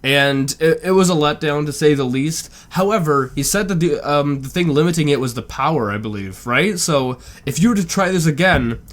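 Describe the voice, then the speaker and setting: A man's voice: a little high, moderate pace, hardly any pauses. A man hosting a reaction video, chatting casually in a rambling way with lots of "like". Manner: rambling, with frequent "like".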